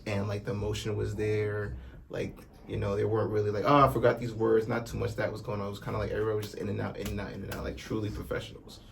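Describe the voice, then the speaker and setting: Low, gravelly man with flat delivery. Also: fast talker. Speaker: sound engineer. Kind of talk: casual behind-the-scenes chat. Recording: clear background noise.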